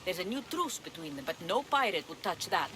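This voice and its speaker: An actress playing a sly, flippant pirate schemer in a video game, with a teasing, casual way of talking. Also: female voice, lowish voice, sing-song, a little fast. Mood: eager, scheming. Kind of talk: video game cutscene dialogue acting. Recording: clear background ambience noise.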